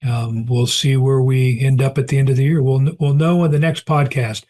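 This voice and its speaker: A middle-aged man hosting a podcast and wrapping up the episode in a chatty way, clearing his throat because he is losing his voice. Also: mid-range voice, level tone, normal speed, darkish tone. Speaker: podcast host. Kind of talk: casual podcast outro.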